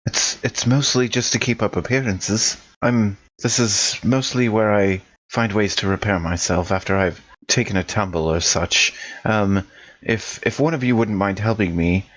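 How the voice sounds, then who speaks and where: A man voicing a calm, polite android crew member in a tabletop game, speaking in halting phrases over a dull, lo-fi recording. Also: on the low side. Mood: explaining. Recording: dull lo-fi audio.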